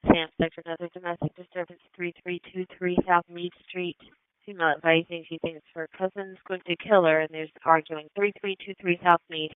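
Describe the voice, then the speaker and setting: Lady dispatcher on a police scanner, thin tinny radio sound, very crisp and clipped. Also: lowish voice, flat delivery, brisk, no echo. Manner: clipped, procedural, reading out numbers digit by digit. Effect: two-way radio. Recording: tinny narrow-band radio scanner feed.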